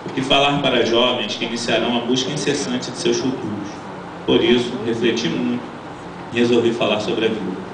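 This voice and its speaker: A middle-aged man, a teacher, giving a formal graduation speech from a lectern in a large auditorium, earnest and measured as he reads from his notes. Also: medium pitch. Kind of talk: formal read-out ceremonial speech. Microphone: stand mic at lectern, filmed from the audience. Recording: echoey auditorium, from far off, noisy.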